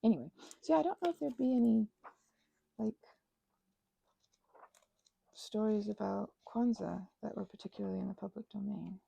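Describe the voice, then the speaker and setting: A woman rambling casually and unscripted while crafting, halting and wandering off on tangents, with excitable, gushing exclamations. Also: mid-range voice, creaky, breathy edge, dry recording, dull audio. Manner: halting, digressive, with gasps and exclamations. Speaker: craft and ASMR channel creator.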